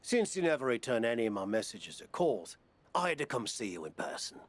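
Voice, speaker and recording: A man's voice: medium pitch, big pitch swings, brisk, lightly acted. A man playing a blunt, supportive British wrestling promoter and mentor in a video game cut scene, with a wry manner. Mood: firm, concerned.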